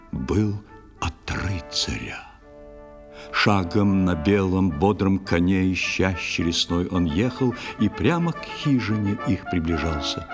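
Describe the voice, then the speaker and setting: A man narrating a poetic old tale for a children's audio play in a measured, expressive way, with drawn-out phrases. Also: low-pitched. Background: music.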